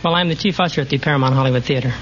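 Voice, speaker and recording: A man's voice: medium pitch, very fast. An American man in his twenties, politely and earnestly answering questions as a radio quiz contestant. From United States. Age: 20s. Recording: muffled, very noisy 1940s radio recording.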